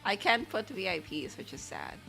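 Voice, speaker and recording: A woman's voice: medium pitch, very animated, moderate pace, vocal fry, pushed and bright, faint music behind. A woman livestreaming as she draws, chatting casually in a rambling way and reading out questions from viewers. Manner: rambling, chatty, reads chat questions aloud. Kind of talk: casual livestream chat while drawing.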